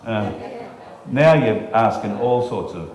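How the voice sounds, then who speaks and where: A man's voice: lowish voice, animated, takes it slowly, darkish tone. An Australian man hosting a live show in a casual, offhand and joking way. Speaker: host. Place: live venue room. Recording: roomy live room, faint music.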